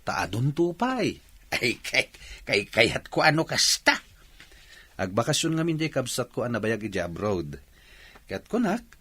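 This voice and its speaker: Animated Ilocano father, bright-voiced man acting. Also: on the high side, breathy edge, full character voice. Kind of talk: Filipino radio-style drama acting.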